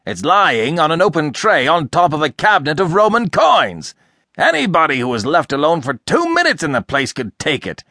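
A man's voice: medium pitch, animated, fast, few pauses, fairly bright tone, no echo, clean studio sound. A man reading a storybook, voicing an irascible, sarcastic, blustering older rich American man who hectors explosively. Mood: indignant. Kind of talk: audiobook character acting.

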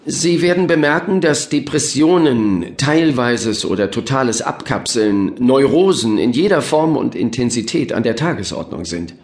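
Actor as smug, animated male doctor. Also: medium pitch, moderate pace, few pauses, close to an everyday voice. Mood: glib reassurance. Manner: patronizing, breezy, expressive. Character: smug, patronizing male doctor, glib and dismissive. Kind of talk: German audio drama acting. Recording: roomy echo, faint noise.

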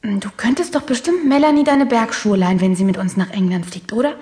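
A woman's voice: mid-range voice, fairly quick, a little mellow, lightly acted. A woman playing a breezy, bossy young girl in a children's audio drama, talking in a colloquial way. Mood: breezy, excited. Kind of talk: German children's audio drama acting and narration.

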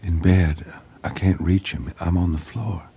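A man voicing a badly hurt middle-aged woman who speaks in a faint, hushed whisper in a true-crime audiobook. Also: sits low, fairly even intonation, unhurried, slightly rough, heavily acted.

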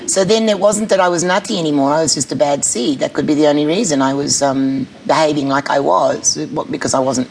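A middle-aged woman with a husky voice, speaking bluntly and candidly as an interview guest. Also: low-pitched, a little fast, hardly any pauses, a little mellow, poor audio. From Australia. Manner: blunt, candid, laughs easily. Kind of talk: casual chat.

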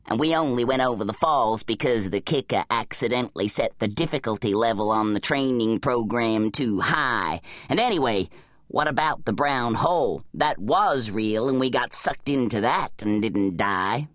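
A childish, whiny talking creature from a comic storybook, put on by a woman. It needles and complains in a very animated way, with swooping pitch. The recording is close and dry. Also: normal speed, dry recording. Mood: hopeful, arguing. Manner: whining, needling. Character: small, whiny, annoying talking bum, childish sidekick. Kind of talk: acted audiobook dialogue.

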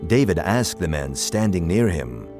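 Deep, expressive male Bible narrator. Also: measured pace, music in the background. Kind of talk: dramatized scripted Bible narration.

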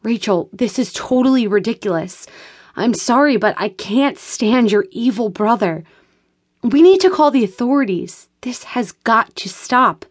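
A woman's voice: a little high, expressive, slow, fairly bright tone, dry recording, studio quality, pitched above the performer's own voice, full character voice. A woman reading an audiobook voices an indignant, protective friend who speaks firmly and with emphasis.

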